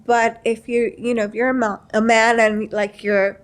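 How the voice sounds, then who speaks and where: A woman hosts a chatty podcast interview in a fairly high voice. She speaks informally, circling into her questions and opinions with frequent "you know". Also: level tone, moderate pace, clean tone. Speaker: podcast host.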